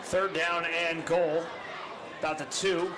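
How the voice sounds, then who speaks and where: A man calling high school football play by play in a brisk, factual manner. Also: little pitch movement, a crowd in the background. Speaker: play-by-play commentator. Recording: noisy live stream audio.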